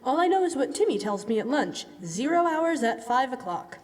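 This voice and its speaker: A woman's voice: high-pitched, sing-song, moderate pace, darkish tone. A woman playing a chatty, breezy housewife friend in a classic-style radio drama performed on stage. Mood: gossipy, amused. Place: on stage in a school hall. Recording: echoey hall, live stage performance.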